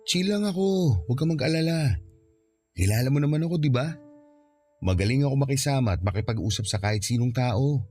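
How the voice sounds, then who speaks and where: A man's voice: medium pitch, animated, a little fast, pauses often, a little mellow, soft background music, lightly acted. A man playing a confident, polite young suitor in a radio drama, speaking easily with a Filipino accent. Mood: confident, teasing. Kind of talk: Tagalog radio drama acting.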